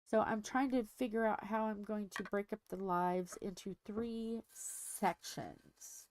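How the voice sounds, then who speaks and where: A woman's voice: mid-range voice, fairly even intonation, slow, darkish tone, no echo. A middle-aged woman hosting a diamond painting livestream, chatting casually and rambling as she thinks aloud with many fillers, a little stuffy.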